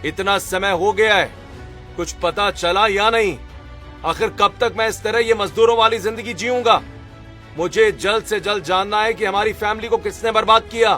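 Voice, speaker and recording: Guy doing a young cartoon husband, secretly rich, high voice, reassuring, bit of music behind. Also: steady tone, moderate pace, bright, forceful tone. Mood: impatient. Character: young husband, secret billionaire posing as poor labourer. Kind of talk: Hindi cartoon story acting.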